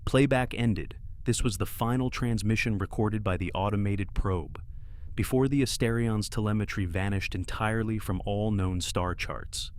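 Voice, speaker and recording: Man acting as official space archive narrator, low voice, formal, grave, scripted sci-fi narration. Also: natural voice.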